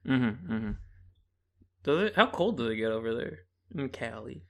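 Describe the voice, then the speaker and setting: Young guy podcasting, bright punchy voice, sweary joking around, exaggerates stuff for laughs. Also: mid-range voice, expressive, measured pace, gravelly. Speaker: podcast host. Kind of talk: casual comedic banter.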